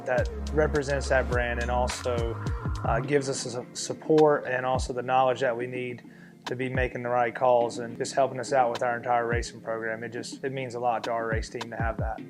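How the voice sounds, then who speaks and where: A man, a race car driver, giving an earnest sponsor testimonial in an ad. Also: medium pitch. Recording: ad with a loud music bed.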